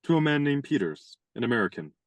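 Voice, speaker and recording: A woman's voice: extremely low pitch, sing-song, fast talker, no echo. A woman acting an eager, awkward young man who speaks hesitantly in a radio play. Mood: flustered, surprised. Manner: hesitant, stammering starts. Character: eager, awkward young man, shy would-be suitor and writer.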